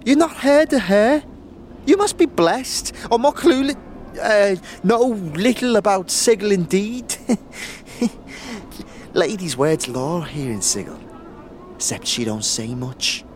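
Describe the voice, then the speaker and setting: A man playing a shifty, chatty young street guide in an audio drama, with halting slangy talk. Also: high-pitched, expressive, a little hiss or hum, pitched above the performer's own voice. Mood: incredulous, knowing.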